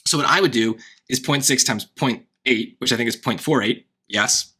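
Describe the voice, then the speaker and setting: A man walks through a math problem like a relaxed tutor, checking in with "right?" as he goes. His tone is bright and forward. The voice sounds worn and hoarse. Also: medium pitch, moderate pace. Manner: thinking aloud, wry asides, frequent "right?". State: voice getting hoarse. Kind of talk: casual worked-example lesson.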